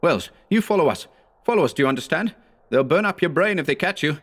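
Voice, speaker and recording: A man's voice: mid-range voice, animated, fairly quick, voice pushed up, close to an everyday voice. A man voicing a grim, determined companion who speaks urgently and commandingly, in a horror story reading.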